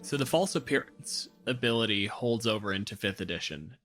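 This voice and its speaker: A man's voice: on the low side, expressive, brisk, fairly bright tone, soft background music. A man on a discussion podcast, explaining and analysing in a casual, conversational style. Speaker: podcast panelist.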